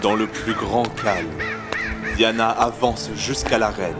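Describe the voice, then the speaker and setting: A man with a fairly low voice delivers scripted, dramatic fantasy narration. Loud music plays beneath him throughout. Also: fairly even intonation, slow.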